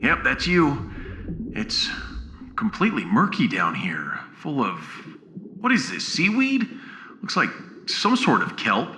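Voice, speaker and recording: Man playing lively, wry starship captain. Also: medium pitch, slow pace, a little hiss or hum, exaggerated voice. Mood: searching. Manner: wry, informal, expressive. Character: affable, battle-tested male starship captain, a family man. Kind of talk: science fiction audio drama acting.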